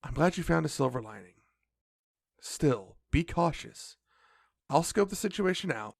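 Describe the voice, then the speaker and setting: Actor as fussy magical companion, gravelly fry, swooping intonation, wary, nervous, admonishing, audio drama. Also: mid-range voice, lively intonation, a little slow, no room sound, studio quality, exaggerated voice. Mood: cautious, instructive.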